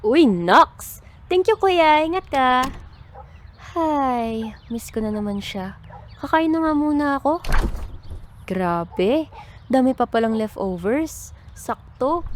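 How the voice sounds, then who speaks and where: A woman's voice: high-pitched, slight background noise. A woman with a Filipino accent playing a cheerful, whiny, joking youngest sister in a radio drama. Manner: pleading, playful.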